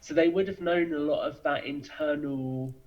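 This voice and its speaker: A man's voice: a little high, steady tone, moderate pace, a little mellow, muffled recording. A man answering as a podcast guest, talking in a thoughtful, explanatory, conversational way.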